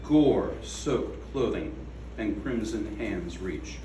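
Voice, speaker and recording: A man reading his own poem aloud at a gallery event, slow and measured, with falling line endings. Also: on the low side, expressive, mellow, a little hiss or hum. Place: art gallery room. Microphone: away from the microphone. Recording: echoey room from a distance.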